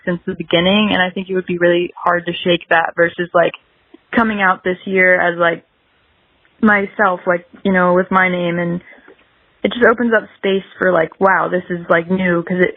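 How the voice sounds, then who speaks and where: A woman's voice: lowish voice, no room sound. A young woman talking casually as an interview guest, rambling and peppering her sentences with "like". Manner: rambling, dense with filler "like". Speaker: podcast guest, singer-songwriter. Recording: dull lo-fi radio-like line.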